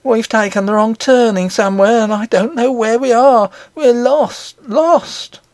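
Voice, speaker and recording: A man's voice: sits high, huge pitch swings, measured pace, dry recording, studio quality, pitched above the performer's own voice, full character voice. A man voicing a frightened, fussing woman nurse in a storybook reading, speaking in an anxious, urgent and scolding way.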